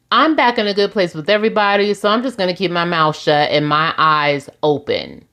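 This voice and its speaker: A woman in her forties with a lilt hosts a casual recap. She talks in a sassy, chatty way and calls her listeners "y'all." Her voice sits in a middle pitch. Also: fairly bright tone. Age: 40s. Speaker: recap host.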